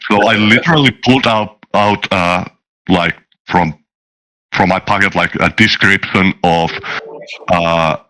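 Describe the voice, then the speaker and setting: A man talking casually as a game designer being interviewed, halting and often restarting his words. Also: low voice, bright, forceful tone, low-quality recording. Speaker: tabletop game designer, guest.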